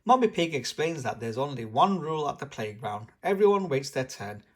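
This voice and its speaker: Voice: medium pitch, sing-song, a little fast. A host reading a picture book aloud expressively for young children. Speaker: storybook channel host. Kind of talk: children's read-aloud narration.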